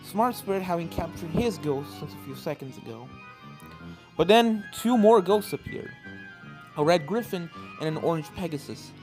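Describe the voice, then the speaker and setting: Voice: mid-range voice, expressive, normal speed, a little mellow, low-quality recording. A narrator reading fan fiction aloud as dramatic scripted story narration. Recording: game-style music behind the voice.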